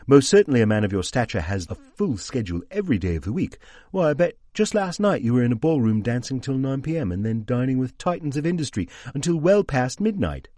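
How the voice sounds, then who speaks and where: A man playing a smooth, wheedling investigator. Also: medium pitch, sing-song, brisk, dark tone, no echo, clean studio sound, pitched above the performer's own voice. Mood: flattering. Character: smooth, cunning investigator posing as reporter.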